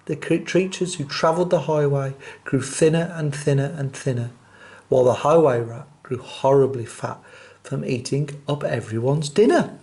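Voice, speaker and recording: A man's voice: medium pitch, moderate pace, a little mellow. A man presenting and reading storybooks expressively for children.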